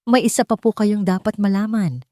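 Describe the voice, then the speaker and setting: A woman's voice: mid-range voice, moderate pace, mellow, no echo, clean studio sound, voice pushed up, natural voice. A woman voicing a polite young housemaid who speaks firmly and earnestly in an audio love story. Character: young housemaid, respectful, resolute, inwardly shaken.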